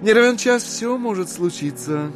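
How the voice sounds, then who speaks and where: An actor playing a polite, cautious gentleman schemer, ingratiating and evasive, in a Russian literary audio drama. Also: high voice, lively intonation, moderate pace, music in the background. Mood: evasive, haggling.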